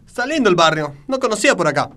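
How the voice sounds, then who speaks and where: A man's voice: high-pitched, sing-song, very fast, pushed and bright. A man with an Argentine accent, bantering in rehearsal and urging his fellow performers on. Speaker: comedy performer.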